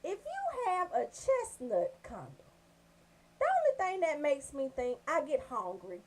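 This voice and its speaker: High-pitched, animated woman radio comedian. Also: a little slow, slight background noise. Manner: brash, animated storytelling with emphatic check-ins. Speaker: radio show host. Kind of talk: comedic radio monologue, raunchy banter.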